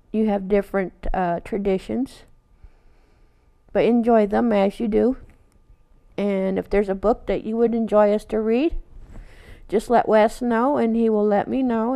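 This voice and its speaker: A woman reading a children's picture book aloud, adding casual asides in a gentle, unhurried read-aloud manner. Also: mid-range voice, creaky. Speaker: storytime reader.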